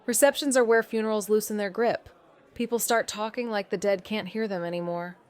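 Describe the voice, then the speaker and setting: An actress playing a wry, watchful young amateur sleuth in a noir audio drama, dry and understated. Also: female voice, mid-range voice, fairly even intonation, brisk, darkish tone, smooth, natural voice. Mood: dry, guarded. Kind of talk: noir audio drama dialogue and first-person narration.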